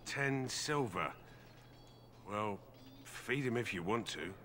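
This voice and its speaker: An actor playing a grudging, callous soldier on guard duty in a fantasy game, surly and sardonic. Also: male voice, on the low side, expressive, slow pace, a bit bright, slight background noise. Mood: grudging indifference.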